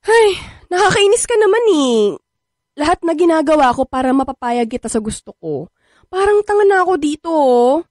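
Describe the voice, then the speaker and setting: Coquettish young Filipina, high voice, very swoopy intonation, playful dramatic romcom delivery. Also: female voice, very animated, normal speed, a little mellow, dry recording, studio quality. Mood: whiny, frustrated. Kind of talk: Filipino audio romantic comedy drama acting.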